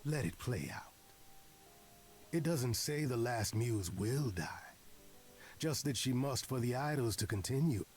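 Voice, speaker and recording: A man's voice: mid-range voice, lively intonation, measured pace, long pauses, a little mellow, soft background music, natural voice. An actor voicing a mischievous male trickster god in a video game, teasing and theatrical with eloquent phrasing. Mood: wry, persuasive.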